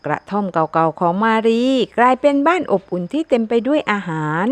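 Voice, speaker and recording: A woman's voice: a little high, big pitch swings, a little fast, no echo. A woman narrating a scripted children's folktale as a storyteller. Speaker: storyteller, channel host.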